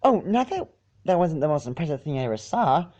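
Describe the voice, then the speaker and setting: A man voicing a timid, gentle female pony in a storybook reading, with a small soft voice and a meek, hesitant, polite manner. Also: on the high side, very animated, fairly quick, pitched above the performer's own voice. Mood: eager, gentle.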